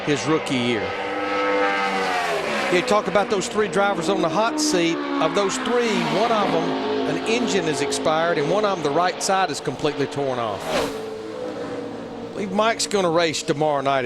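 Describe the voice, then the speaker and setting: A man's voice: on the high side, sing-song, normal speed, over loud music. A man giving conversational, folksy color commentary on a stock car qualifying session. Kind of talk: live race commentary.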